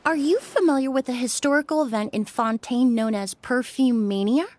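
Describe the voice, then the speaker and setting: A woman voicing a polite, composed young perfumer in a video game, explaining things in a measured, courteous way. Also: a little high, darkish tone, dry recording, close to an everyday voice. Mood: storytelling.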